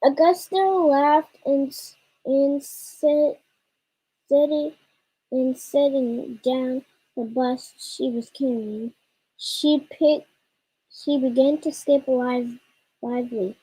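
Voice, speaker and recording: A young girl reading a story aloud haltingly, stumbling and repeating words. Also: high-pitched, steady tone, mellow, rough recording. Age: child. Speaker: child co-reader of a storytime.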